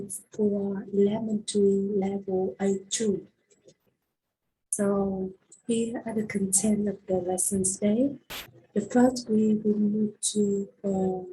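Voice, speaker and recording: Slow, soft-toned Vietnamese-accented woman teacher. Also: mid-range voice, a little hiss or hum, poor audio. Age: 20s. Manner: hesitant, many fillers and restarts. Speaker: English teacher. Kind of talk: recorded grammar lesson over slides.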